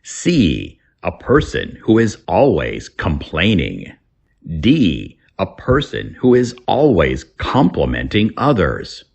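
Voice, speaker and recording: Deep, gravelly, slow-reading man. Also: wildly swooping pitch, lo-fi sound. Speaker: reader and English teacher. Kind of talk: scripted instructional reading.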